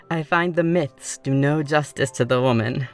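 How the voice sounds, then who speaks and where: A woman's voice: sits low, measured pace, faint music behind. A woman playing a gracious, playful vampire in an ASMR roleplay, with a formal, courteous, poised way of speaking.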